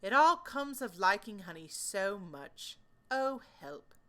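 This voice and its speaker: A woman's voice: mid-range voice, big pitch swings, slow pace, fairly bright tone. A woman voicing an earnest, simple-minded, honey-loving male bear in a children's storybook, pondering aloud in an emphatic, sing-song way. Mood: flustered. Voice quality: growly.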